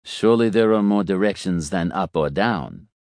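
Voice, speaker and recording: A man voicing an awkward, uneasy man who answers tersely and hesitantly, mumbling in a deep voice. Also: animated, normal speed, a little mellow, no room sound, clean studio sound.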